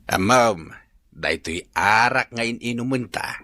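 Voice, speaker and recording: A man's voice: medium pitch, expressive, unhurried, bright, forceful tone, dry recording. A man acting a chummy, tipsy village drinking buddy in an Ilocano radio comedy drama. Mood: chummy, confiding.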